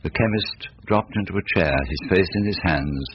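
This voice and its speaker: Actor as grave storyteller, man, low pitch, measured scripted narration, radio play, muffled recording. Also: a little hiss or hum, close to an everyday voice.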